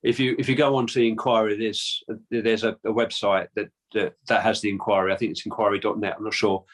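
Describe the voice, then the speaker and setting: A man's voice: on the low side, flat delivery, fast. A man with a London accent answering interview questions casually, hesitant with many restarts and fillers. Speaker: guest, descendant of a Titanic crewman.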